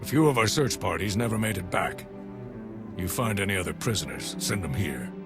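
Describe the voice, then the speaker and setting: An actor playing a rough, sardonic former mining foreman turned militia fighter in a video game, speaking in a laconic, teasing way. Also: fairly low pitch, expressive, brisk, over music. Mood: dry, teasing. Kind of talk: video game cutscene acting.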